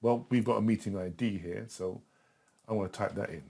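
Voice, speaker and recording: A middle-aged man giving a casual step-by-step tutorial in a chatty, simple way, with a husky voice. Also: fairly low pitch, brisk, dark tone. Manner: simple, chatty, talking himself through each step. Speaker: tutor in a tutorial video, also acting as the student.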